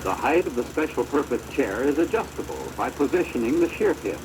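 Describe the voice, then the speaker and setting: An American man giving formal, measured instructional narration for a training film, on a deteriorated old projector soundtrack. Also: mid-range voice, animated, noisy background.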